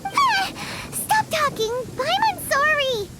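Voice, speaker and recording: A woman voicing a tiny, childlike, excitable fairy companion in a video game, with a squeaky, chirpy, cartoonish delivery. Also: slow, heavy background noise, full character voice. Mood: flustered. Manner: speaks of herself in third person, chirpy. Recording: loud music behind.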